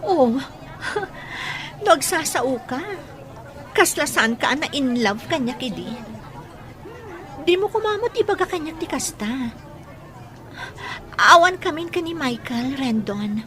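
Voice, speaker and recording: Actress as a high-voiced, forward Filipino flirt. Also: female voice, very animated, slow, long pauses, a bit bright, a faint crowd, heavily acted. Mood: flirtatious, insistent. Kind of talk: audio drama acting.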